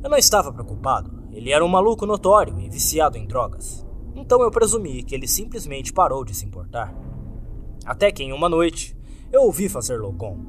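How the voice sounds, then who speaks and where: A man's voice: fairly high pitch, big pitch swings, a little fast. A man with a Brazilian accent reading a scripted first-person horror story with dramatic delivery, as narrator. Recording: background music under the voice. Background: music.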